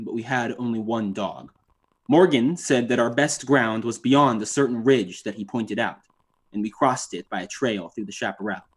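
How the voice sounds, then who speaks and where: An actor playing a proud, defensive young writer giving formal, precise testimony in an old-time radio drama. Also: male voice, mid-range voice, slightly rough, lightly acted. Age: young adult.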